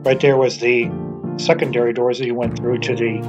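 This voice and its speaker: A man's voice: mid-range voice, little pitch movement, brisk, highs cut off, music in the background. Chatty middle-aged man filming outdoors. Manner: chatty, enthusiastic, with wandering asides. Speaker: urban explorer vlogger. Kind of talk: casual walking narration. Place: outdoors in snow at an abandoned motel. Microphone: handheld camera at arm's length.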